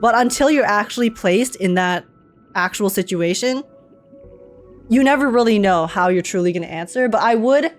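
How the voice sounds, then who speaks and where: A woman's voice: mid-range voice, moderate pace, faint music behind. A woman giving casual, slangy, joking reaction commentary as a game streamer. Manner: slangy, joking, frequently chuckling.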